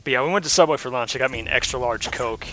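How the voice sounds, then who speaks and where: A hoarse young man giving casual, rambling commentary while playing a game, with lots of ums and uhs. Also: mid-range voice, racing, fairly bright tone. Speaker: let's play host.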